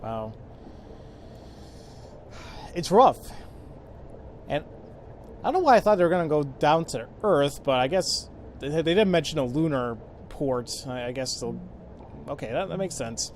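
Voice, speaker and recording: A man giving casual, halting let's play commentary, informally thinking aloud as he goes. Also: on the high side, big pitch swings, a little mellow, a little hiss or hum. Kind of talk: casual video game commentary.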